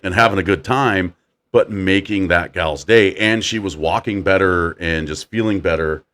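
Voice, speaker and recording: A man with a deep voice hosting a casual chat, animated as he tells stories and acts out quotes. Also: normal speed. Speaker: podcast host.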